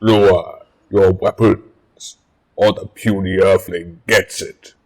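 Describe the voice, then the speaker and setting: A man's voice: sits low, steady tone, darkish tone, rough recording. A man playing a vengeful, boastful alien military commander in an audio drama, speaking with pompous menace. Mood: threatening. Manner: slow, menacing, pompous delivery.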